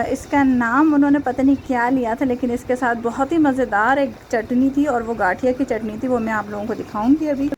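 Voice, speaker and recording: Chatty young Pakistani woman vlogger, Pakistani Urdu accent, higher voice, noisy home behind her. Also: a little mellow. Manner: chatty, laughs often, many fillers. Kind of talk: casual vlog voice-over.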